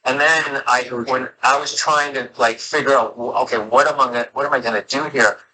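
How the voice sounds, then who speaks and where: A man speaking casually on a recorded phone call in a halting way, repeating words as he goes. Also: medium pitch, fairly even intonation, sharp tone. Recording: noisy phone call with ambient sound.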